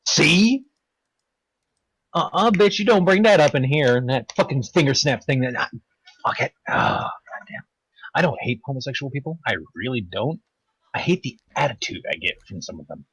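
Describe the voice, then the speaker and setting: A man with a husky voice that swings widely in pitch, recorded close and dry. He talks casually as a streamer among friends, brash and full of swearing, as if always halfway into a mock rant. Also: big pitch swings, normal speed, fairly bright tone, no echo, poor audio. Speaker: streamer on a group live stream. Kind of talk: casual chat.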